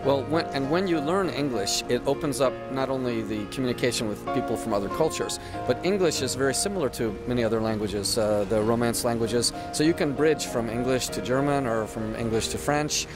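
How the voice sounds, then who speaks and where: A man with a mid-range voice explains things with enthusiasm and laughs easily. Clear music plays behind the conversation. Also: medium pitch, a little fast, hardly any pauses. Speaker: conversation partner. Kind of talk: casual conversation.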